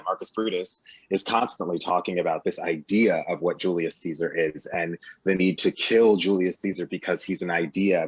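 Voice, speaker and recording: A man's voice: deep voice, breathy edge, dry recording, lo-fi sound. A man speaking as a guest on a panel, analysing a play and building his points in emphatic parallel phrases.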